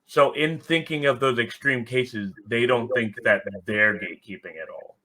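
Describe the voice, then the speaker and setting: A man's voice: mid-range voice, normal speed, sharp tone, low-quality recording. A man speaking as a podcast guest, giving casual, reflective explanations and checking that he is understood. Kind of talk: casual conversational interview answers.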